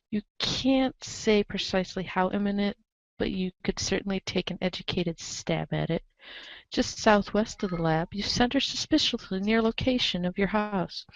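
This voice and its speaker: A woman's voice: medium pitch, dry recording. A woman reading scripted narration aloud as the narrator of a group reading. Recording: dull lo-fi audio.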